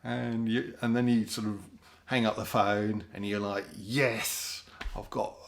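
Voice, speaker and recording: A man's voice: fairly low pitch, level tone, normal speed, creaky. A British man giving a casual, rambling spoken commentary in a sardonic manner. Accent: British English. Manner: rambling, sardonic, often mimics other people in put-on voices. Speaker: host of an opinion monologue.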